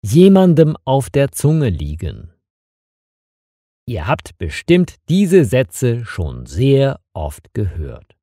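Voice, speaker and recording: A narrator reads a learners' story at a very slow pace. The voice is dark and husky, with a lively melody. It is recorded close and dry. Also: mid-range voice, no echo. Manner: slow, deliberate reading.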